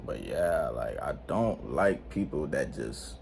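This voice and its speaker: A man's voice: very deep voice, expressive, takes it slowly, vocal fry, darkish tone, slight background noise. An eighteen-year-old man talking to camera at home in a slangy, rambling, laid-back way, often checking in with the viewers. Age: late teens. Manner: slangy, rambling, laid-back, frequent "you know what I'm saying". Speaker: vlogger. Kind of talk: unscripted casual vlog talk. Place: indoors at home.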